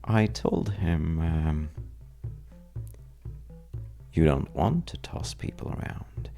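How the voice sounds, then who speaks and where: A very low, heavily creaky male voice, a man acting it out, playing a charming troublemaker at a bar in an audio roleplay. He talks slowly and intimately, with wry, teasing pauses, as if spinning a tale for one listener. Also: warm tone, faint music behind, heavily acted. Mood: amused storytelling. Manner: wry, teasing, hesitant storyteller with dramatic pauses. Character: well-dressed roguish stranger at a bar, wry trouble-seeking charmer.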